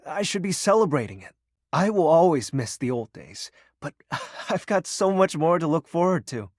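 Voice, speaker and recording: A man's voice: on the high side, sing-song, moderate pace, darkish tone, no room sound, clean studio sound. A man voicing the personification of darkness in a video game, a shy, sentimental, awkward self-styled shadow lord who speaks hesitantly with bursts of theatrical brooding. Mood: wistful, hopeful.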